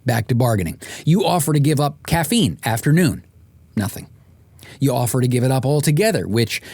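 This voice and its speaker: A man's voice: mid-range voice, darkish tone, no echo. Dry-recorded man narrating a wry audiobook. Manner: wry, comic storytelling with exasperated emphasis. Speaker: author and podcast host narrating.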